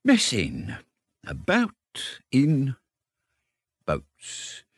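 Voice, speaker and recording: A man's voice: sits low, sing-song, normal speed, a bit bright, no room sound, clean studio sound, exaggerated voice. A man voicing a genial, dreamy water rat from a storybook, enthusiastic and easygoing about life on the river. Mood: solemn, dreamy. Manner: enthusiastic, effusive. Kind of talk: storybook character reading.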